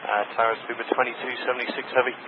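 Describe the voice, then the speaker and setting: A male airline pilot talks rapidly in a mid-pitched voice. He uses clipped radio phraseology. The sound is thin and band-limited, like a cockpit transmission. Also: level tone. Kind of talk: clipped radio readbacks and calls. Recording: noisy narrowband cockpit radio.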